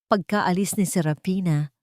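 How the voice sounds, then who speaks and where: Casting: female storyteller for dramatic romance narration, slightly low pitch, very dry studio-like sound. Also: moderate pace, a little mellow, no echo.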